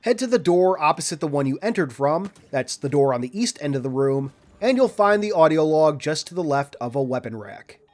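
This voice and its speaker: A man reading a scripted video game walkthrough in a measured, orderly, instructional way. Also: a little high, animated, a little mellow. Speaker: video game guide narrator. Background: background music.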